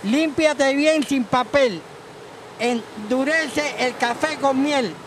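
A man from Cuba with a Cuban accent, a passerby in a street interview, answering in a quick, jokey way. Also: very high-pitched, background noise.

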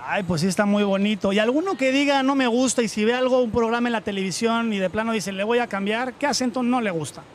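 A male reporter with a strikingly high voice. He hosts a breezy TV segment and sets up each question with a joking, playful edge. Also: fast, hardly any pauses, slight background noise. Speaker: street reporter. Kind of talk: lighthearted TV report with street interviews.

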